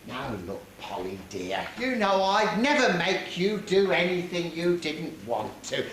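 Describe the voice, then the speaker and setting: Bloke as a pushy panto mum, swoopy scolding dame voice, super echoey hall. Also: male voice, sits high, very animated, measured pace, creaky, a bit bright, full character voice. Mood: coaxing, bossy. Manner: exaggerated, coaxing, scolding. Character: bossy, greedy, doting pantomime dame mother. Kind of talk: pantomime stage acting, playing to audience. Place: theatre or hall stage, live performance. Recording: very echoey hall, faint noise.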